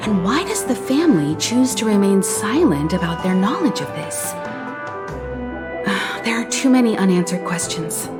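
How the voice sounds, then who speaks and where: Lady playing a calm, in-charge crew leader in a game, even and measured, music under her. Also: medium pitch, close to an everyday voice. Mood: calm, serious. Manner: measured and authoritative, gives calm orders. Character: poised, composed woman leading a crew. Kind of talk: video game dialogue.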